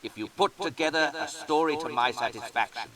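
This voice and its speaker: A man voicing a stately old spirit in a fantasy game fan dub, formal, deliberate and commanding. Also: on the high side, fairly bright tone, far from the mic, lightly acted, poor audio. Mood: grave, commanding. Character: stately old Eidolon spirit testing a would-be master.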